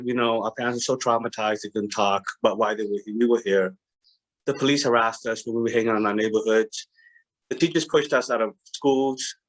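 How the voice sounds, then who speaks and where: Halting man with a Boston accent. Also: fairly low pitch, a bit bright, poor audio. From Cambodia. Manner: earnest, halting, many ums and restarts. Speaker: panelist. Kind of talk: casual panel talk on a video call. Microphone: video call.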